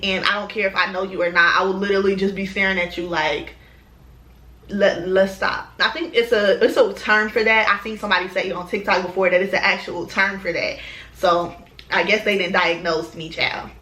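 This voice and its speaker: A woman's voice: medium pitch, bright, forceful tone, reverberant. A woman, chatting casually to her camera, rambling with lots of ums and slang. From Chicago, US. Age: 20s. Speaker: lifestyle vlogger.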